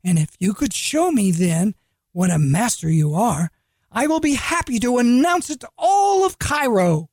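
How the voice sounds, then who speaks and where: A man voicing a sly, flattering male street trader in a storybook reading. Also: extremely high pitch, a little slow, slight background noise, voice pushed up, full character voice. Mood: coaxing. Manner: wheedling, flattering.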